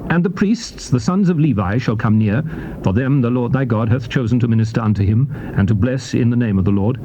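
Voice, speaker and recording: A man reading scripture in archaic English as a solemn dramatized narration, with a declamatory, measured delivery. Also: medium pitch, lively intonation. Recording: dull, noisy old cassette tape recording.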